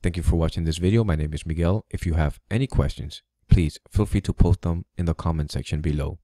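A man hosting a tech tutorial, giving plain step-by-step instructions with a few halting fillers. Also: deep voice, mellow, no echo, studio quality. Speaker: tutorial host. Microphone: USB studio microphone.

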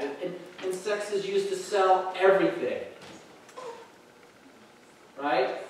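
A man's voice: high voice, a little hiss or hum. A middle-aged man preaching a sermon in a church hall, emphatic and conversational, often checking in with "right?". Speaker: pastor. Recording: very echoey hall, heard from a distance.

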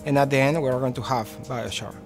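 Engineer, careful, music behind. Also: male voice, mid-range voice, fairly even intonation, quick pace. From Venezuela. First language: Spanish. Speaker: chemical engineer. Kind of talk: documentary interview. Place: indoor maker workshop. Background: background music.

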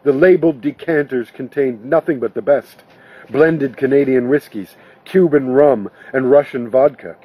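Husky-voiced man narrating a story. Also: medium pitch, normal speed, phone-quality sound. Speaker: actor reading an audiobook.

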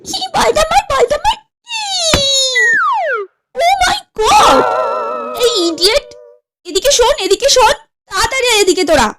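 Shrill, hot-tempered cartoon lady. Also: lively intonation, measured pace, long pauses, heavy background noise. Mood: shrieking outrage. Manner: haughty, scolding, sprinkles English words. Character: hot-tempered, snooty lady who threatens children. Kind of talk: exaggerated comedy cartoon acting.

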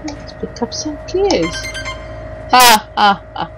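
A woman's voice: on the high side, big pitch swings, takes it slowly, music in the background. A woman giving casual, reactive let's play commentary, muttering to herself and exclaiming as she plays. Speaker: gaming commentator. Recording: clear background noise and game ambience. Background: video game ambience.

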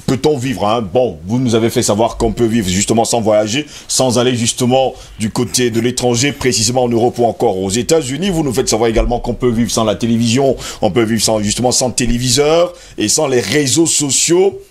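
A man hosting a morning TV debate, prompting his guests with quick interjections. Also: mid-range voice, darkish tone. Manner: prompting, adds short interjections. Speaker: TV host. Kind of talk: morning show moderation. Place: TV studio.

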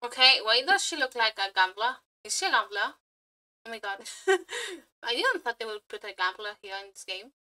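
A woman's voice: fairly high pitch, sing-song, moderate pace, pushed and bright. A woman hosting a reaction video, commenting casually with giggly, exclamatory remarks and loose swearing.

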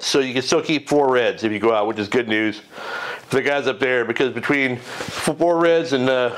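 A man's voice: mid-range voice, slight background noise. A middle-aged man hosting a fishing report from his garage, talking off the cuff with lots of fillers and restarts into a lapel mic. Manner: rambling, many fillers and self-corrections. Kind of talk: casual unscripted fishing report. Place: home garage, in front of a green screen.

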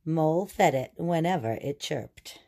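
Woman with a low mellow voice reading a kids book, takes it slow and explains stuff. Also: expressive. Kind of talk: picture-book read-aloud and explanation for children.

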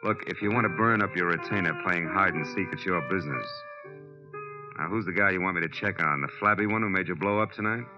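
A man's voice: low-pitched, fast, over music, close to an everyday voice. An American man playing a cynical, wisecracking private detective in 1940s radio style, wry and clipped. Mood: wry, probing. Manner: wry, clipped, hard-boiled. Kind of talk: 1940s radio acting and first-person narration. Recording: muffled old-time radio recording.

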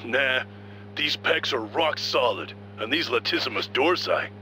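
A proud, earnest strongman from a video game. He muses theatrically and haltingly in a forceful, slow voice, over a faint hum. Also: medium pitch, animated. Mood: self-absorbed, musing. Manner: hesitant, musing to himself, theatrical. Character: earnest, muscle-proud big goofy strongman.

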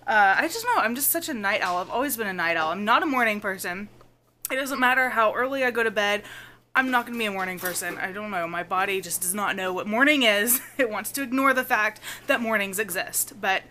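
Young woman, family vlogger, bright loud voice, casual home camera chat with faint room hum. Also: medium pitch, fairly quick. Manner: chatty, loud, laughs often. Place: home kitchen and living room at night. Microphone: handheld camera at arm's length.

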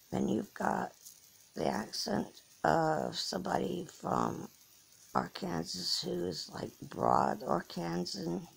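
Slow raspy gravelly middle-aged woman. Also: on the low side. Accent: English with some American Southern. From England, Norfolk. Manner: slow, halting, many restarts and fillers. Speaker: vlogger. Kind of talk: rambling personal monologue. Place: lying in bed indoors. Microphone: phone held close above the face.

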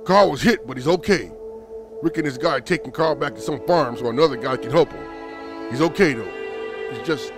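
A man's voice: on the high side, moderate pace, music in the background, lightly acted. A man playing an alarmed survivor bearing urgent news in a comic dub.

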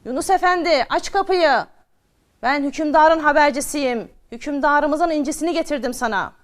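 Woman as a loud, officious royal messenger. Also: high-pitched, normal speed, voice pushed up, lightly acted. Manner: commanding, calling out.

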